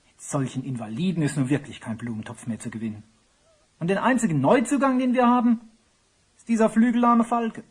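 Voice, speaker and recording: A man playing a worried, grumbling royal falconer in a children's radio play, complaining theatrically in a husky voice. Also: high-pitched, brisk.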